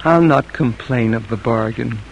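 A woman's voice: very deep voice, moderate pace, gravelly, muffled recording, slight background noise. An actress playing an anxious, strong-willed, middle-aged colonial wife and mother, speaking with emphatic repetition in an old-time radio drama. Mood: resigned. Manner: emphatic, repeating phrases for weight.

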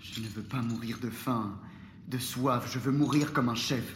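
An actor playing a proud captive Gaulish war chief resigned to death in a French audio drama, in an echoing space. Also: male voice, medium pitch, normal speed, slight background noise, lightly acted. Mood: solemn, determined. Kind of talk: French audio fiction acting. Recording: echoing cell with clear background ambience.

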